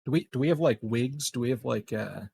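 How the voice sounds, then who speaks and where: A man playing a tabletop roleplaying game, chatting casually and repeating words haltingly as he plans. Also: medium pitch, creaky, dark tone. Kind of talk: casual in-character game chat.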